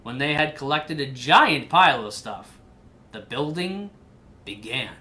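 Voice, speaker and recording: A woman reading a children's storybook aloud in an animated style. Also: a bass voice, measured pace. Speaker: storybook reader.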